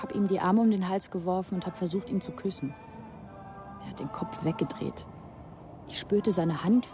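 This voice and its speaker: A woman's voice: mid-range voice, close to an everyday voice. A woman playing a rebellious, sarcastic teenage girl in a radio drama, telling her story in a confiding, dramatic way. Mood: wistful, bitter. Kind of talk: radio drama narration and dialogue.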